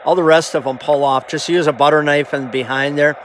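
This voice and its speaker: A man giving a casual, halting, rambling hands-on demonstration as a hobbyist presenter. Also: on the high side, steady tone, a bit bright. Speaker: hobbyist presenter showing his CB radio. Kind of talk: casual hands-on show-and-tell. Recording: noisy amateur camera audio. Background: clear background noise.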